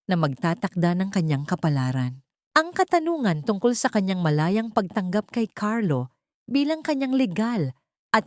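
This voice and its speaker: A woman reading a scripted dramatic love story as narrator, in a measured, solemn manner. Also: medium pitch, darkish tone, dry recording, studio quality.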